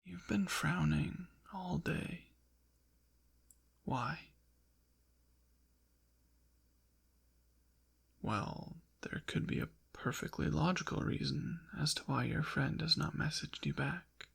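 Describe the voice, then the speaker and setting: A man voicing a haughty, possessive ancient demon in an intimate ASMR roleplay, with formal, deliberate diction and a breathy, gravelly whisper. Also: fairly high pitch, very animated, fairly bright tone, heavily acted. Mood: curious, dry. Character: possessive ancient male demon, haughty, teasing, quietly softening. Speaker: audio roleplay performer.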